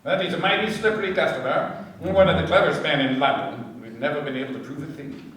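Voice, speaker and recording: A man playing a shrewd, composed detective inspector in a radio play, speaking with brisk, measured authority. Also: on the high side, fairly bright tone, natural voice. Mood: measured, knowing. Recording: very echoey, distant from microphone.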